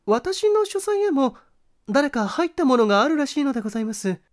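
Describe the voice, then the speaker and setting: A man's voice: very high voice, sing-song, fairly quick, pitched above the performer's own voice. A man voicing a refined, anxious young lady who testifies politely and hesitantly in a mystery audiobook. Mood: uneasy, polite. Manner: polite, hesitant, feminine formal speech.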